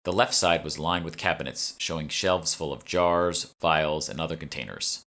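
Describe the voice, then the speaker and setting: A man's voice: extremely low pitch, normal speed, bright, forceful tone. A man reading first-person fiction as scripted audiobook narration, as the author of the book.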